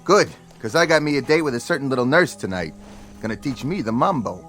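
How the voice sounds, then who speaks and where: A man's voice: medium pitch, lively intonation, brisk, fairly bright tone, faint music behind, natural voice. An actor playing a young, lovestruck American infantryman in a radio drama, talking in a folksy, informal way. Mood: cocky.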